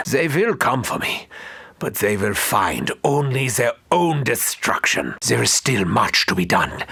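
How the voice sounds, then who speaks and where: An actor playing a brooding, eccentric, world-weary mad doctor in a video game, theatrical and wry, with a raspy voice. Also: male voice, on the low side, pushed and bright, dry recording, exaggerated voice. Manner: theatrical, melancholy, wry, formal. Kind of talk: video game character monologue lines.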